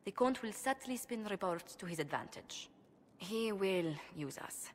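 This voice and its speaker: A woman's voice: medium pitch, lively intonation, unhurried, slight background noise, natural voice. Game casting: woman as dutiful young noblewoman diplomat, formal and precise, anxious beneath composure, mid-range voice in a reverberant hall. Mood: composed, explaining. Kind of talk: video game cutscene acting.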